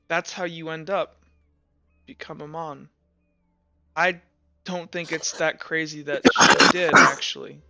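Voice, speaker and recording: Man playing a quiet, troubled tabletop game character. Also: fairly high pitch, dry recording, full character voice. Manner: hesitant, quiet, earnest. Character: somber, troubled man confiding gently. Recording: dull, lo-fi with music behind.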